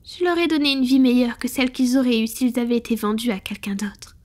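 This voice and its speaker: A woman playing a tender, conflicted female vampire in an intimate whispered ASMR roleplay, breathy, slow and hesitant. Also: a little high, lightly acted. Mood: troubled, reflective. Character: tender, conflicted wealthy female vampire confiding in her beloved.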